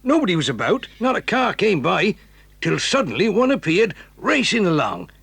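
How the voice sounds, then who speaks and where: An actor playing a hearty, excitable circus hand in a children's radio drama, with a gravelly, husky voice. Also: male voice, sits high, moderate pace, dry recording, exaggerated voice. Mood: pleased, excited.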